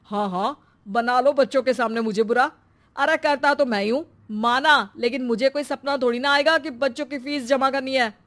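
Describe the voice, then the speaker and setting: A woman's voice: sits high, moderate pace, a bit bright. A woman voicing an impatient, fault-finding husband in a cartoon story. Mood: sarcastic, irritated.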